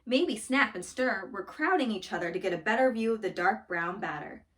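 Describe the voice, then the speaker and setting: A woman reading a children's story aloud in an expressive, dramatic storytelling manner. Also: mid-range voice, normal speed, recorded from a distance. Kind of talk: scripted storybook read-aloud.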